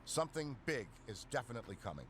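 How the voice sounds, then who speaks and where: An actor playing an eager, excitable middle-aged Ghostbuster scientist in a video game, earnest and dramatic. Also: male voice, medium pitch, fast. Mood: excited, foreboding. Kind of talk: scripted video game voice acting.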